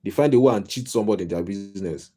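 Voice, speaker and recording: Mellow-voiced Nigerian man rambling on. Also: lowish voice, very fast, breathy edge, soft background music. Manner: rambling and repetitive, with wry humor. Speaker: life coach streaming live. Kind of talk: casual live-stream monologue. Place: home.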